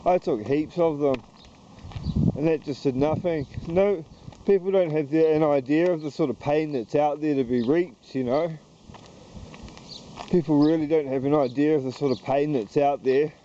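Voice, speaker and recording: Man, New Zealand accent, hesitant rambling vlog, very mellow dark tone, muffled lo-fi sound. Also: a little high, slight background noise. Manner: hesitant, halting, slow and wandering. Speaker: YouTuber. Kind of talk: rambling walk-and-talk vlog. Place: outdoors walking around town.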